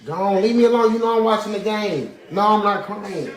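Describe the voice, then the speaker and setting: A man's voice: sits high, normal speed. A man in a casual argument, protesting plaintively and repeating himself. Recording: echoey room.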